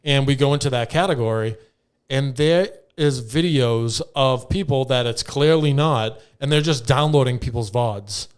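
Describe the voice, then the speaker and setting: A man's voice: medium pitch, normal speed, clear, resonant tone. A man hosting a podcast, bantering casually in a blunt, irreverent way with plenty of swearing. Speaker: podcast host.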